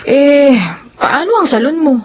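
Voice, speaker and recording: High-voiced, outspoken young Filipina. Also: female voice, lively intonation, measured pace, highs cut off. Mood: curious. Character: outspoken young woman, teasing friend, quick to bristle. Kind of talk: Filipino radio drama acting.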